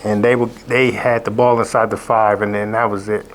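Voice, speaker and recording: A hoarse man, sick, hosting a casual college football betting recap, rambling with many fillers and restarts. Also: on the low side, little pitch movement, moderate pace, slight background noise. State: hoarse from illness. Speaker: sports betting show host. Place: abroad, indoors.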